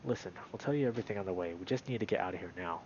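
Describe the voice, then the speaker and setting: A man's voice: low-pitched, rapid-fire, a little mellow, muffled recording, a little hiss or hum. A man voicing a guarded male animal character in a visual novel, terse, evasive and pressing. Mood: guarded, urgent.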